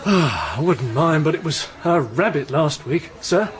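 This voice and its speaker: A man's voice: fairly high pitch, lively intonation, unhurried, noisy background. An actor playing a jovial male police desk sergeant in a radio drama, officially polite and droll. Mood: droll, reassuring.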